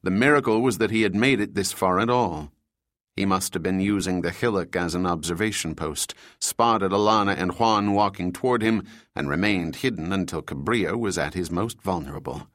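A man narrating a thriller audiobook in a steady, even reading voice. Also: low-pitched, moderate pace, no room sound, clean studio sound.

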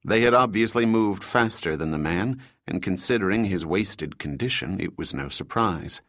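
A man narrating a thriller audiobook in a steady, even reading voice. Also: deep voice, normal speed, no room sound, clean studio sound.

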